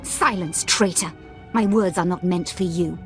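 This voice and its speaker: An actress playing a zealous, scornful Sith officer in a video game, with haughty, grandiose menace. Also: female voice, fairly low pitch, unhurried, soft background music, close to an everyday voice. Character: zealous Sith woman officer, scornful, devoted to her master. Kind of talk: dramatic video game villain acting.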